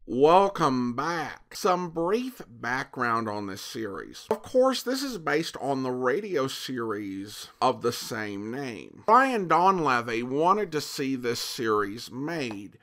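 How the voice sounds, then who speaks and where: Male presenter for retro TV commentary: measured, explanatory delivery with animated rises and falls. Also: mid-range voice. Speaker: host. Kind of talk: informal commentary on vintage television.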